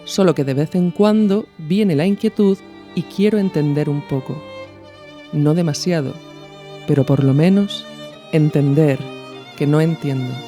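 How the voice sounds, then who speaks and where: A woman's voice: sits low, unhurried, long pauses, warm tone, faint music behind. A woman with a Peninsular Spanish accent hosting a podcast, speaking in a thoughtful, informal, reflective way. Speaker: podcast host. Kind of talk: reflective commentary with a literary reading.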